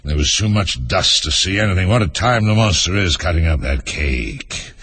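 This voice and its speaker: A man voicing a weary, grumpy storybook lion in a deep, hollow tone, drawling and yawning between words. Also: lively intonation, normal speed, fairly bright tone, husky, full character voice. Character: slow, sleepy, weary old lion, grumpy and boastful.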